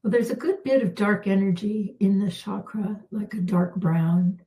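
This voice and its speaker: A middle-aged woman, a healer, leading a calm guided spiritual healing with unhurried talk and soft pauses, her voice slightly worn. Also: mid-range voice, rough recording. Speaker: intuitive healer hosting a live session.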